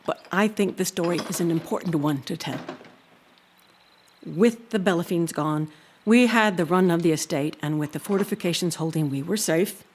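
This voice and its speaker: An actress playing an earnest, proud housekeeper who tells a haunting tale in a deliberate, expressive way. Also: female voice, fairly low pitch, slight background noise, close to an everyday voice. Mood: wry, disapproving. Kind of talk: dramatic audio-drama storytelling.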